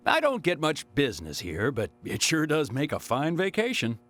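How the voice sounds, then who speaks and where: A man's voice: on the high side, huge pitch swings, normal speed, a bit bright. An actor playing a jovial, talkative travelling salesman in a video game cutscene, with a folksy, chatty manner. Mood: cheerful, relaxed. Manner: chatty, folksy, chuckling, exclamatory.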